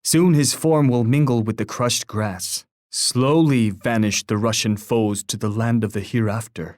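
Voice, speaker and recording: An actor voicing a grave, dignified war leader in a video game, speaking in a measured, oratorical and formally poetic way. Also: male voice, fairly low pitch, steady tone, darkish tone, no echo, studio quality, close to an everyday voice. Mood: solemn triumph. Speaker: game character voice. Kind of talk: scripted video game voice lines.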